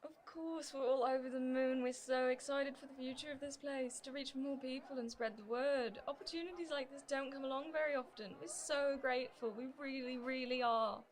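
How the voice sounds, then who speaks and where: A woman's voice: sits high, steady tone, moderate pace, hardly any pauses, close to an everyday voice. A woman playing a feisty, devoted niece in an amateur radio play. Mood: delighted. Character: feisty, devoted niece who works at community centre.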